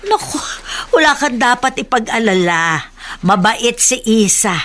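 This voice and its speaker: A woman's voice: on the high side, lively intonation, a little slow, a bit bright. Motherly housekeeper, faint background noise. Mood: reassuring. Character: kindly motherly middle-aged housekeeper, deferential yet probing. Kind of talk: Tagalog radio drama acting.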